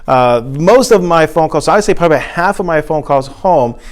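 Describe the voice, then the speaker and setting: A man's voice: a little high, expressive, moderate pace. Conversational man explaining as a teacher. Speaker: teacher and presenter. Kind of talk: informal instructional talk to camera.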